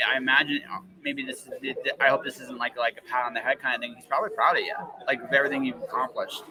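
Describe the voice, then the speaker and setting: A man hosts a casual interview in a mid-range voice. He is excitable and tends to circle back and rephrase his phrases. Clear chatter like a crowd sits behind him. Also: mid-range voice, fast talker, rough recording. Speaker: podcast host interviewing a guest.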